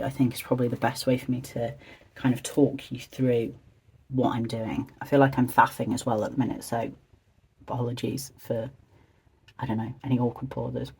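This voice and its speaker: Craft vlogger, mid-pitched and a little croaky, soft-spoken, chatty and hesitant, for a calm journaling video. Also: moderate pace, poor audio. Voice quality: slightly croaky, husky. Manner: hesitant, meandering, soft-spoken and low-key. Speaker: stationery and journaling video creator. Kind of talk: quiet, chatty craft vlog, thinking aloud while decorating.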